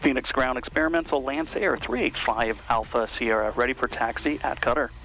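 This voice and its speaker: A man, a pilot making halting, procedural radio calls on an aviation headset. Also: mid-range voice, music in the background, rough recording. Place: small plane cockpit in flight and on the ground. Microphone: aviation headset boom mic.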